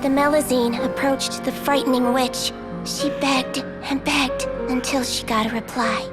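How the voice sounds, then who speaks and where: A high, girlish voice for a gentle little game doctor. She speaks slowly and softly, with earnest care, over prominent music. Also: fairly even intonation. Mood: gentle, wistful. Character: cute gentle little doctor girl telling her story. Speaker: story narrator and character. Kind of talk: storybook narration and game dialogue. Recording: music playing behind the voice.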